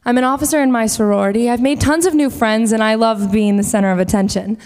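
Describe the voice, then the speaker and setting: A woman's voice: on the high side, quick pace, darkish tone, clean tone, close to an everyday voice. A woman playing a twenty-year-old college student, outwardly confident and inwardly empty, in a dramatic monologue. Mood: self-assured. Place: large reverberant hall. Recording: echoey hall with long reverb.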